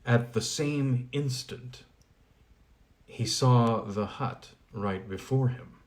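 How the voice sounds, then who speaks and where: A man who reads a fairy tale aloud, chatting casually between chapters. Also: mid-range voice. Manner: chatty with fillers in recap, measured when reading. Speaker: reader.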